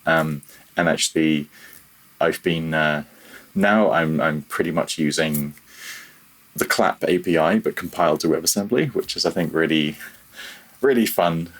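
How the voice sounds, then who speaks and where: A man's voice: rumbling low, measured pace, pauses often, a bit bright. A man chatting informally as a technical interview guest, often stopping to rephrase himself as he goes. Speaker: guest, freelance audio software developer. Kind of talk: casual technical interview chat.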